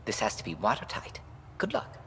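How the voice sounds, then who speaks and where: A man's voice: fairly low pitch, huge pitch swings, fairly quick, noisy background. A man playing a wry, shrewd retired alien special-forces major in a video game, speaking with dry, frank irony. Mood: grave, wry. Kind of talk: video game character dialogue.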